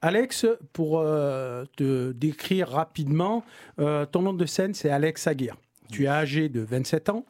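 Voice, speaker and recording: A French man hosts a comedy talk show in a fairly high voice. He teases his guest playfully and slips many fillers into his questions. He keeps the tone light throughout. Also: animated, measured pace, darkish tone. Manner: playful, teasing, with frequent fillers. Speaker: podcast host.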